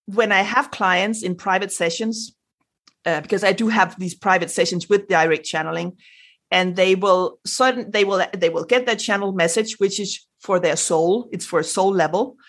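Animated Danish woman with sound effects. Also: mid-range voice, moderate pace. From Denmark. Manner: frequent restarts and fillers. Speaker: guest, spirit channeler. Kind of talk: podcast interview.

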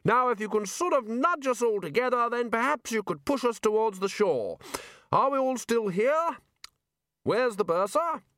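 A man voicing a brisk, hearty old archchancellor wizard who talks in a bluff, commanding way. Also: extremely high pitch, animated, a bit bright, no echo, studio quality, pitched above the performer's own voice.

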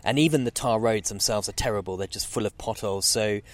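A man in his forties with a lowish voice, speaking as a podcast guest. He rambles through his answers, restarting sentences and filling pauses with "um" and "sort of". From Zambia. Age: 40s. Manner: rambling, many fillers and restarts. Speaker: guest oceanographer. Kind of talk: casual interview.

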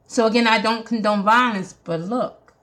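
A woman's voice: a little high, moderate pace, fairly bright tone. A woman with a Jamaican accent hosting a podcast, talking earnestly and emphatically like a sermon. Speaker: podcast host. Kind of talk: preaching podcast monologue.

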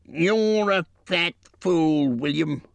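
A man's voice: medium pitch, takes it slowly, no room sound, pitched above the performer's own voice. A man voicing a quarrelsome, suspicious male troll who bullies in coarse slang. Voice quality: coarse, bullying, rough slang.

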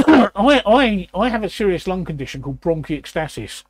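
Croaky, raspy man in his sixties. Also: high voice, big pitch swings, normal speed. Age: 60s. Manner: repeats words, frequent throat clearing. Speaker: host. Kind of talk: casual chat.

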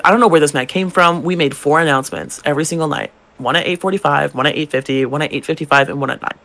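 Young male drag queen podcaster, slightly high gravelly voice, chatty and animated. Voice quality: gravelly, feminine-leaning voice. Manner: animated, swearing, acts out customers' lines. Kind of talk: casual storytelling chat.

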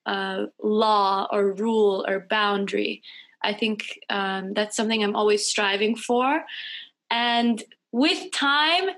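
A woman's voice: a little high, sharp tone. A woman in her thirties, a musician, answering interview questions thoughtfully over a video call. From United States. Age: 30s. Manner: thoughtful, slow, searching. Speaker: guest musician. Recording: lo-fi call audio.

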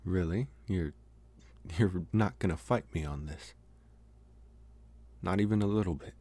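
Man acting as young college vampire, very low pitch, unhurried delivery, intimate ASMR roleplay, awkward, halting, possessive, earnest. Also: mellow, slightly rough, no echo. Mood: hesitant, bargaining. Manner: halting, hesitant, trying to sound intimidating.

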